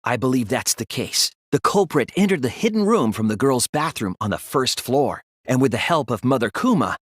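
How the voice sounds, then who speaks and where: Teenage boy detective, earnest, analytical, resolute, deliberate, widely varied intonation, mid-pitched, dry close sound, video game dub. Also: sing-song, slightly rough, no room sound. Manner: deliberate, earnest, explanatory delivery. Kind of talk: English video game dub, dramatic deductive dialogue.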